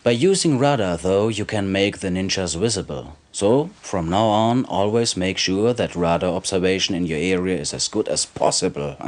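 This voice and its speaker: An actor playing a sly, self-serving underground informant in a video game briefing, talking in an insinuating, conspiratorial way. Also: male voice, low-pitched, moderate pace, few pauses, natural voice. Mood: warning. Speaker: informant character in a game cutscene.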